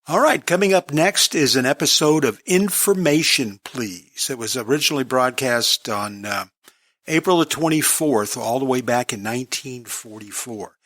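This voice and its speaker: A man works as a radio host, introducing programs in a presenter's delivery. His voice sits at an ordinary pitch and is recorded close and dry, with no room around it. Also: normal speed, fairly bright tone, no room sound. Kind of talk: scripted introduction of old radio episodes.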